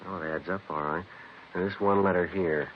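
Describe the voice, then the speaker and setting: Man as a very deep, clipped, deadpan detective. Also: a little fast, natural voice. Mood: terse, pondering. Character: terse, businesslike male police detective, methodical and dry. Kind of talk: 1940s-50s radio crime drama, clipped narration and dialogue. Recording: noisy, dull vintage radio recording.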